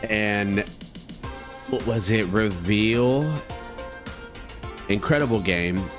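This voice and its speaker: A man's voice: fairly low pitch, drawn-out words, music in the background. A man giving casual let's play commentary, rambling in slang and talking to his viewers. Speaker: gaming video host.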